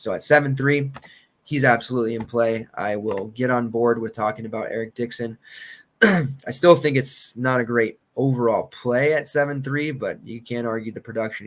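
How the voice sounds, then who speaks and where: A man in his thirties, losing his voice and clearing his throat, rambling casually through sports betting picks. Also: mid-range voice. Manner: loose, rambling, confident picks with filler and restarts. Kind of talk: casual solo podcast commentary.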